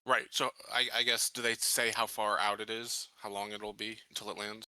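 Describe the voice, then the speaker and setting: A man's voice: fairly low pitch, little pitch movement, normal speed, sharp tone, no echo, poor audio. A man playing a tabletop game, talking through his choices in an informal, hesitant way. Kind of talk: casual game discussion.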